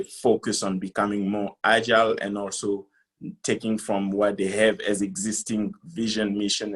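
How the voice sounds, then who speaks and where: A middle-aged man from the Democratic Republic of Congo giving a business presentation on a video call, speaking in a halting, deliberate way. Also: lowish voice, slightly rough. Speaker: conference speaker, company founder.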